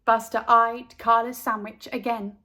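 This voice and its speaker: A woman narrating a children's storybook expressively, with deliberate, dramatic pauses. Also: on the high side, level tone, bright, forceful tone. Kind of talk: expressive children's read-aloud.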